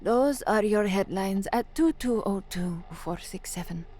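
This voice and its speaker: A woman's voice: mid-range voice, animated, a little slow, a little mellow. An actress playing a young, anxious woman who works as a radio newsreader in an audio drama. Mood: formal, composed. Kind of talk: audio drama dialogue, narration and formal newsreading.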